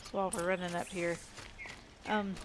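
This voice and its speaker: A woman's voice: fairly low pitch, level tone, normal speed, noisy background. A woman giving casual commentary while playing a video game. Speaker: gaming video creator. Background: video game music and sound.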